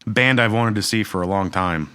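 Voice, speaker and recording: A man in his thirties talks casually on a podcast, his low voice carrying a bright edge. He rambles and restarts his sentences in a laid-back way. The recording is dry and close. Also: normal speed, clear, resonant tone, no echo. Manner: relaxed, rambling, many fillers and restarts. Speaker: podcast co-host. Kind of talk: casual chat.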